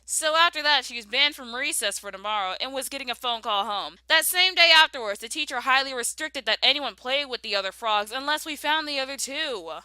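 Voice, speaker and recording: A woman's voice: high voice, lively intonation, brisk, hardly any pauses, pushed and bright, clean tone, dry recording, rough recording. A young American woman narrating a scripted personal story with emphatic, dramatic delivery. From southern United States.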